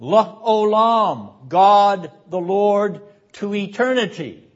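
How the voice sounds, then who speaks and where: A man delivering a prayerful, rhetorical sermon lecture in a solemn, incantatory manner, with repeated phrases and deliberate pauses. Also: high-pitched, animated, highs cut off. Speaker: seminary dean and Bible teacher.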